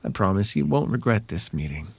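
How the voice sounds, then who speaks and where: A man voicing a possessive fae royal in an ASMR roleplay, courtly and coaxing with soft menace under a silky, creaky voice. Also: low voice, big pitch swings, fairly quick, slight background noise, exaggerated voice. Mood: playful, possessive. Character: possessive, silky male fae royal wooing a captive lover. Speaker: voice actor.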